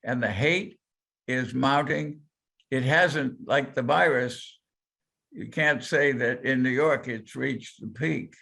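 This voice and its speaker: An older man with a gravelly, creaky voice talks slowly on a panel. He tells his story with deliberate weight, in a middle pitch. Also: sharp tone. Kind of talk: panel discussion remarks.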